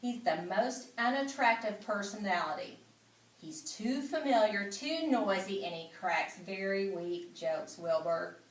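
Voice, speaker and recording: An aging lady spider from a storybook voiced by a woman, courteous and measured, with a dry critical streak and some weariness. Her pitch sits in an ordinary female range. The room echoes around her and the microphone is a little way off. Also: expressive, a little hiss or hum. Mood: critical, confiding. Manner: polite, measured, dryly critical. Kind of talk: children's storybook reading. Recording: roomy echo, distant microphone.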